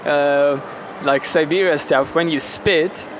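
A man's voice: a little high, fairly even intonation, slow, noisy background. A man from western Ukraine with an Eastern European accent, talking casually and reflectively as a podcast guest. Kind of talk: casual interview.

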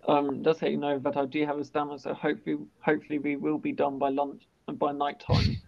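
Young man who stammers, gravelly voice. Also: on the high side, completely flat delivery, fast. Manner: stammers, restarts words. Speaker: podcast host. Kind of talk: casual interview chat. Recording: dull lo-fi sound.

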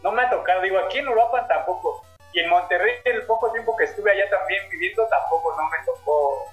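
A man's voice: sits high, fast talker, fairly bright tone, roomy sound, soft background music, rough recording. A man from Mexico City with a Mexico City Spanish accent, a podcast guest talking casually and rambling with many restarts. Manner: rambling, hesitant, lists things with restarts. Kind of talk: casual chat.

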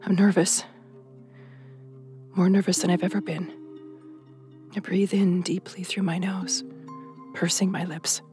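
A woman's voice: fairly low pitch, flat delivery, unhurried, long pauses, dark tone, faint music behind, lightly acted. A woman playing a tense, determined police officer in an audio drama, moving between intimate narration and urgent commands. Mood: hushed, nervous. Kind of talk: audio drama acting with hushed first-person narration.

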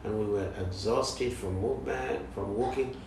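A man in his forties from South Sudan, a guest in an interview, speaking slowly and haltingly with many pauses. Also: mid-range voice, vocal fry. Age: 40s. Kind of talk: interview conversation. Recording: distant mic in echoey room, noisy.

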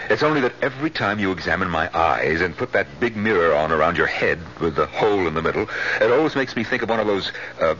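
Deep, bright-voiced middle-aged patient. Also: male voice. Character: chatty, wry man of fifty-nine at eye exam. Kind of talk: 1970s radio drama acting. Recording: dull, lightly noisy 1970s radio recording.

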